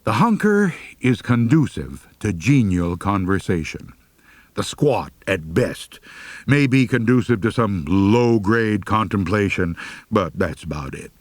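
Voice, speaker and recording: A man's voice: lowish voice, very animated, measured pace, no room sound. A man narrating a humorous first-person story as an audiobook reader, with a wry, mock-serious delivery.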